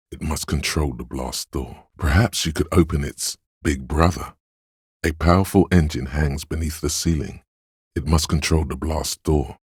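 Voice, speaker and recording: Very deep, husky, grave game narrator. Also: male voice, dry recording, studio quality, exaggerated voice. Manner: measured, deliberate, literary, dramatic pauses. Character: grave, wry inner-voice narrator of a detective game. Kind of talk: scripted game narration, second person.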